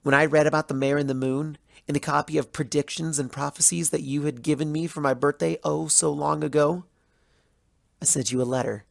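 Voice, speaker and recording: Creaky-voiced, wounded young mare acted by a narrator. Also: medium pitch, steady tone, no room sound, studio quality, close to an everyday voice. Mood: bitter, reflective. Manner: measured, dramatic, wounded, accusatory delivery. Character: betrayed, bitter young female pony student, intellectual and hurt. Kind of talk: dramatic fan fiction reading as first-person monologue.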